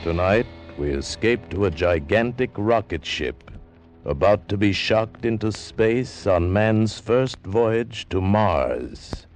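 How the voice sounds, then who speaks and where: An American man as an old-time radio announcer, delivering dramatic introductions in a deliberate, portentous manner. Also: fairly low pitch, expressive, soft background music. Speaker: program announcer.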